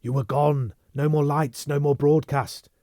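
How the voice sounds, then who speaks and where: A cold, menacing interrogator, as a man voices him. He pounds his words out savagely, slow and loud. The reading is dry and close. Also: on the high side, fairly even intonation, no room sound, voice pushed up, lightly acted.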